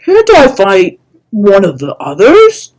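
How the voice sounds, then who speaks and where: A woman voicing a mild, peaceable young male dragon who pleads earnestly and gently in a storybook reading. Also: mid-range voice, wildly swooping pitch, slow pace, heavily acted. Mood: pleading.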